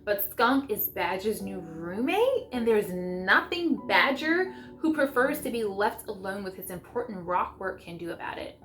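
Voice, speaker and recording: A woman's voice: mid-range voice, big pitch swings, a little slow, few pauses, a bit bright. A woman vlogging as a homeschool mom, talking casually and reading aloud in an enthusiastic, chatty way. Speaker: homeschool mom sharing book picks. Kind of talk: casual vlog chat with read-aloud passages. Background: music.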